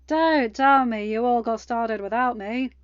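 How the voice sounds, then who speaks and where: A woman voicing a bossy but caring young woman in a story, with a brisk, teasing delivery, on a slightly dull recording. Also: fairly high pitch, smooth, dry recording, natural voice.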